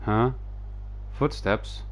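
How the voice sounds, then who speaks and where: A woman's voice: drawn-out words, a little hiss or hum. A woman streaming a game, casually reading along and commenting. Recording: dull, muffled stream mic. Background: game music.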